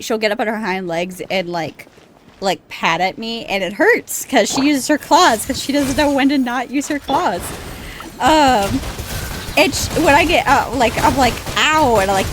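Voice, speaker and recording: A woman's voice: a little high, background noise. A woman live streamer chatting casually as she plays a video game, rambling, giggly and free with swearing. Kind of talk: casual gameplay stream chat. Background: game music.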